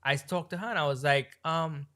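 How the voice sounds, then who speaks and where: A man from Trinidad with a Trinidadian-tinged American accent, co-hosting a podcast and telling a long story in a rambling, animated way with lots of fillers. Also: a little high, normal speed. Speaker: podcast co-host. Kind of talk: casual storytelling chat.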